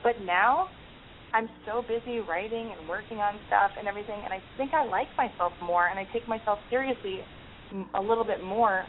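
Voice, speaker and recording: Podcast host lady rambling thru a story, thin phoney sound, bit of hiss. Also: mid-range voice. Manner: talkative, quick restarts, frequent "like". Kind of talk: casual call-in chat and storytelling. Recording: phone-like narrow band with faint hiss.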